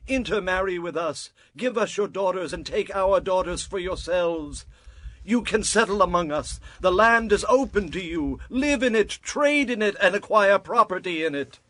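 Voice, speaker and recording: A man's voice: sits high, lively intonation, normal speed, fairly bright tone, no room sound. An actor playing an emphatic, declamatory biblical tribesman in a dramatized audio Bible. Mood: earnest, insistent. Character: forceful biblical tribesmen: brothers, fathers, suitors.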